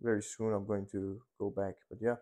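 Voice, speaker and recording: Young Iranian man, halting speech. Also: fairly low pitch, little pitch movement, warm tone. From Iran. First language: Persian. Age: 20s. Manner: hesitant, many fillers and restarts. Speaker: guest, dental student. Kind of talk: casual interview answers.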